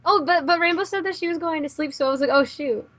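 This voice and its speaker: A woman's voice: very high-pitched, quick pace, a bit bright. A woman chatting casually with friends in a playful, somewhat hesitant, rambling way. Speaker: voice actor in radio play readings. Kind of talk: casual group chat.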